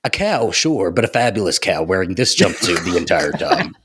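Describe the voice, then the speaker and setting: A man co-hosting a casual podcast chat, with a dry, sassy, drawn-out delivery. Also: sits low, sing-song, fairly bright tone, a little hiss or hum. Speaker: podcast co-host.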